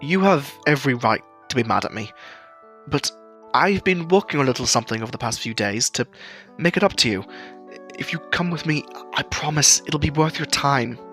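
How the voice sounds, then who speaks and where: Man voicing an eager, bright-voiced demon friend. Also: mid-range voice, expressive, normal speed, soft background music. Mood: pleading, earnest. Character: eager, proud demon friend with razor-sharp grin, surprisingly gentle.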